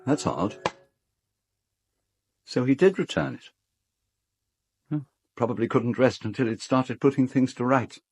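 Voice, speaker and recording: An actor playing an urbane, sardonic British gentleman host in a radio drama, worldly and articulate with a dry wit. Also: medium pitch, unhurried, vocal fry, pauses often, husky. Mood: brisk. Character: urbane, sardonic male host of an island house.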